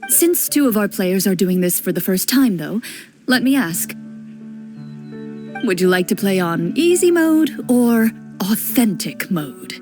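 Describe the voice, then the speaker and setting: A woman voicing a composed woman who runs the game and explains its rules calmly. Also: medium pitch, faint music behind, natural voice. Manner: calm, explanatory, measured. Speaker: game master. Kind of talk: game voice acting.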